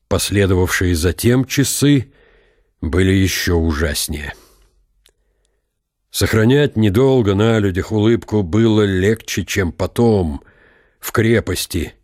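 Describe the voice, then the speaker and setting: An actor playing an aging military count, proud and brooding, in a deliberate, reflective radio drama monologue. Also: male voice, on the low side, darkish tone, no room sound. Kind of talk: dramatized literary reading with inner monologue. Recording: studio radio drama with music behind.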